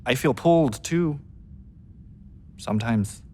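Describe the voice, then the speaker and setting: A man playing a gentle, forgiving, lovelorn astronaut crewmate in a radio drama, halting and soft-spoken. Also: mid-range voice, a little hiss or hum, natural voice. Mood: wistful. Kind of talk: naturalistic radio drama acting.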